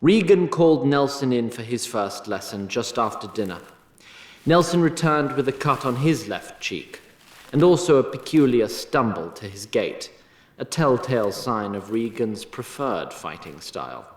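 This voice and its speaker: A man narrating a scripted fantasy story in an expressive storytelling manner, with a roomy sound on his voice. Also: medium pitch, normal speed, close to an everyday voice. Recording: roomy echo on voice.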